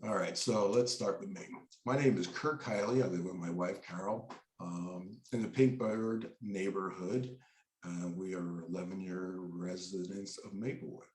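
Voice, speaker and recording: Congested man, lowish voice, nervous breathy pauses, echoey room. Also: steady tone, a little mellow. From New Jersey. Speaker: debate candidate. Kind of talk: prepared opening statement.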